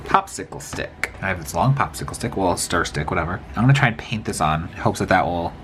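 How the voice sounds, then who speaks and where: A man's voice: low-pitched, expressive, a little fast, fairly bright tone, slight background noise. A man from the American Midwest, sniffly with a cold, talking to himself in a casual crafting vlog as he works. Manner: chatty, self-talking, rambling asides while working. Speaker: miniature crafter.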